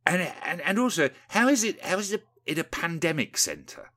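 A man's voice: fairly high pitch, very animated, moderate pace, bright, forceful tone, pitched above the performer's own voice, full character voice. A man voicing an indignant, boozy, downtrodden village miller with a blurry, plaintive way of talking in a satirical storybook parody. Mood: outraged.

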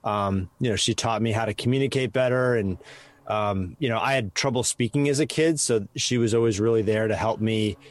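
A man's voice: lowish voice, brisk. A man with a Midwestern American accent, reflecting on his upbringing as a podcast guest in an easygoing way. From American Midwest (Chicago, Wisconsin). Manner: easygoing, reflective, many "you know"s. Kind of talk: casual interview chat.

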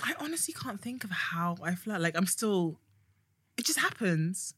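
A woman's voice: mid-range voice, big pitch swings, normal speed, fairly bright tone, slightly rough, no echo. A woman co-hosting a casual podcast, giggly and teasing in a playful way. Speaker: podcast co-host.

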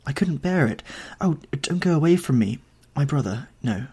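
A man's voice: a little high, animated, normal speed, dark tone, slightly rough, voice pushed up, exaggerated voice. A man voicing a heartbroken young actress who begs her lover not to leave, in a pleading, breathless way.

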